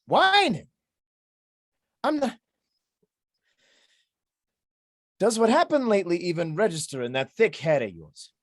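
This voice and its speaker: A man plays an aggrieved sidekick in a comic detective play. His voice sits fairly high and leaps around in pitch as he complains with heavy sarcasm, in a dry, close sound. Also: sing-song, moderate pace, dry recording. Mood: indignant. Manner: indignant, emphatic complaining with sarcastic build-ups. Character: exasperated, put-upon male sidekick of a detective. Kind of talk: comic noir stage acting.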